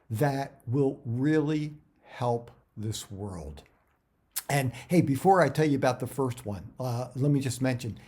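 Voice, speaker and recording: Middle-aged man, mid-pitched voice, casual advice to viewers, conversational, halting delivery, repeated words. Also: a little mellow, husky. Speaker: actor giving career advice. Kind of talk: casual direct-to-viewer talk.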